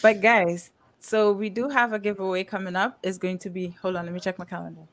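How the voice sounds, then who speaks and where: A woman's voice: mid-range voice, fairly even intonation, a little fast. A woman with a Caribbean accent hosting a casual livestream talk show, chatty, with frequent "um"s and laughs. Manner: chatty, laughs often, uses fillers like "um" and "you know". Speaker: podcast host.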